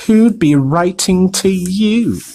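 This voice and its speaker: A woman's voice: on the low side, very animated, slow, a little mellow, pitched above the performer's own voice. Uncle Vernon, a woman doing a blustering uncle. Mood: angry barking. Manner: gruff, barking, sneering. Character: blustering stout middle-aged uncle, bad-tempered. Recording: echoey room.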